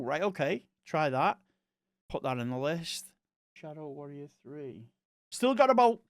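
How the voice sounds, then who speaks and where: A man's voice: on the high side, clear, resonant tone, no echo, studio quality. Chatty Northwest English streamer man. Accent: Northwest English, mix of Manchester and Liverpool. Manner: rambling, chatty, self-correcting. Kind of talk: casual live-stream chat reading viewer messages.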